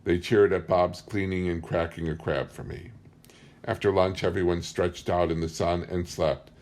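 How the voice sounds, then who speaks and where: A man's voice: deep voice, level tone, normal speed, darkish tone. A man reading a book aloud as scripted story narration. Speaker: audiobook reader.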